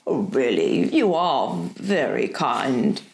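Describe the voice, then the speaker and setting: A woman's voice: medium pitch, huge pitch swings, measured pace, roomy sound, noisy background. A woman voicing a mild, bookish male professor in an audiobook, courteous, formal and kindly, with some hesitation. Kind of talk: audiobook character voice.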